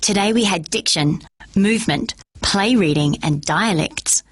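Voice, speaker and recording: A woman with a husky voice reading a children's novel aloud in steady first-person narration. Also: mid-range voice, a little slow, no echo. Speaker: storyteller.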